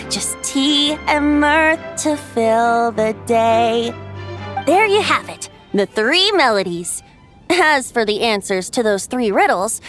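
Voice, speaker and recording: A woman's voice: fairly high pitch, fairly bright tone. A woman voicing a playful young male bard god in a game, cheerful and teasing with frequent laughs. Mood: lilting verse. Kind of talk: game voice acting. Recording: game audio with music behind.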